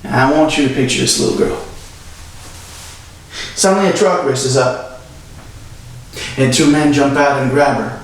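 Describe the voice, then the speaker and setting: A man's voice: mid-range voice, unhurried, long pauses, slight background noise, close to an everyday voice. Man acting young earnest lawyer in echoey room. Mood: grave, pained storytelling. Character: young earnest male defense lawyer pleading to a jury. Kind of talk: dramatic courtroom monologue. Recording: very echoey room, far from mic.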